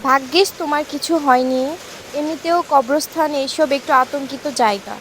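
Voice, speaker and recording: A woman's voice: sits high, normal speed, fairly bright tone, slight background noise, poor audio. A woman playing a caring village wife in a cartoon story, with a homely, fussing, concerned way of talking. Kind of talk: Bengali cartoon story acting.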